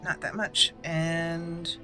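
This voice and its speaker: A woman's voice: fairly low pitch, little pitch movement, drawn-out words, music in the background. A woman hosting a gaming video, giving casual roleplay commentary and thinking aloud as she plays.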